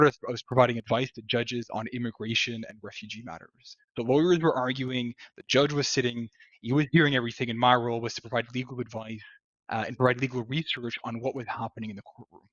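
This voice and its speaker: Fast-talking francophone man on a lo-fi call. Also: mid-range voice, a bit bright, dry recording. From Ottawa, Canada. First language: French. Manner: earnest, with repeated restarts. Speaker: immigration lawyer, guest. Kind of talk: self-introduction on a live stream. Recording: dry, dull lo-fi call audio.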